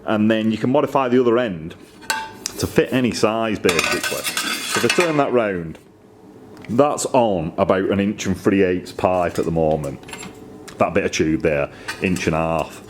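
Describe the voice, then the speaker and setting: A middle-aged man with a fairly low voice talks casually and enthusiastically, rambling a little and poking fun at himself. He records in his own workshop, with a faint hum of noise behind him. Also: moderate pace. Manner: chatty, rambling, self-deprecating, enthusiastic. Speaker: motorcycle exhaust maker. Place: indoor workshop.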